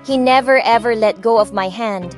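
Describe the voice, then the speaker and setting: A young woman narrates a romance audiobook in first person, expressive and moving easily between two languages. Her voice sits a little high, with soft music beneath. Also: normal speed.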